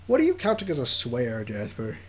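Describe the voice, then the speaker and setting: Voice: mid-range voice, huge pitch swings, fairly quick, soft background music. A streamer playing a visual novel, reading it aloud with goofy, joking asides.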